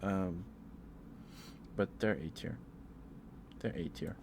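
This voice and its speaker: A man doing a casual, rambling ASMR livestream, speaking in a hesitant, musing way and repeating himself over clear background noise. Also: low-pitched, takes it slowly. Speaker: live streamer.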